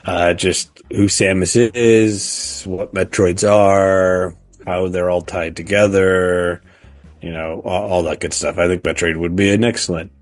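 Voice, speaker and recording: A middle-aged man co-hosting a casual podcast, speaking haltingly as he searches for words. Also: sits low, flat delivery, no echo. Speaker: podcast co-host. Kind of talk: casual chat about video games.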